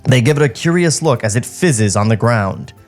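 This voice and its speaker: A man narrates a script for a comedy podcast with wry asides. His mid-pitched voice is very animated, with music in the recording. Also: normal speed. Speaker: podcast host reading stage directions.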